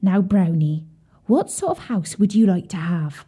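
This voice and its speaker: A woman voicing an old, dying mother pig who speaks earnestly and a little louder, full of care for her young. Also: medium pitch, animated, unhurried, slightly rough. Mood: tender.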